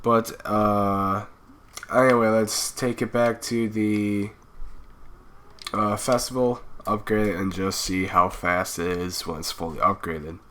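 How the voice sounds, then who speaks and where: A man's voice: lowish voice, fairly even intonation, takes it slowly, a bit bright. A young man with a cold commenting casually as he plays a racing game, rambling with fillers. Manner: laid-back, rambling with fillers. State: stuffy with a cold. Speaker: gaming channel host.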